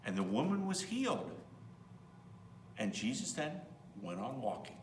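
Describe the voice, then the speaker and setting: A man's voice: mid-range voice, sing-song, measured pace. A man reading a children's Bible story aloud as a priest, expressively, with simple asides to young listeners. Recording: roomy, distant mic, faint hum.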